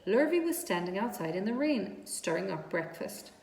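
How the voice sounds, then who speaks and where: A woman reading a children's story aloud as narrator, with deliberate, expressive phrasing. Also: medium pitch, vocal fry. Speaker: storybook reader. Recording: echoey reverberant room with background noise.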